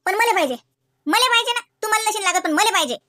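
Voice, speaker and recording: A woman's voice: extremely high pitch, lively intonation, racing, bright, forceful tone, full character voice. A woman playing a stubborn, demanding young village wife in a comedy cartoon, arguing insistently in a Varhadi Marathi accent. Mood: demanding, defiant. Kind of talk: amateur comedy cartoon dialogue.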